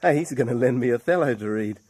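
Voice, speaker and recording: A man acting an earnest, affectionate fellow in a radio play. He has a middle-pitched voice. He speaks colloquially and thoughtfully, as if thinking aloud. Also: expressive, quick pace, a little mellow, no room sound, lightly acted. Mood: admiring, musing. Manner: colloquial, musing.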